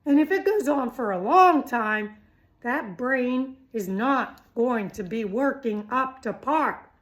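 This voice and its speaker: A woman's voice: on the high side, expressive, slow. A middle-aged woman giving an informal, chatty health talk, blunt and teasing, firing rhetorical questions at the listener. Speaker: health vlogger.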